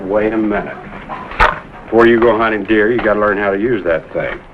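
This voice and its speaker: A man's voice: fairly low pitch, brisk, lightly acted. A male actor playing a patient, kindly country father, plain-spoken and reassuring, in a 1950s television drama. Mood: instructing, encouraging. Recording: dull, noisy 1950s TV soundtrack.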